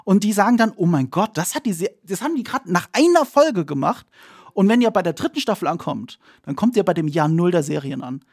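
A man appearing as an expert guest, telling stories eagerly and repeating words when excited. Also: high voice, a little fast, breathy edge. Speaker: podcast guest, series expert. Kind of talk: enthusiastic storytelling.

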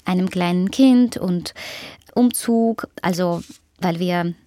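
A woman author with a Ukrainian accent, Russian as her first language, speaking German thoughtfully in an interview with many pauses and fillers. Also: lowish voice, dark tone, no echo. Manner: thoughtful, hesitant, with frequent fillers. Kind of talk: interview conversation.

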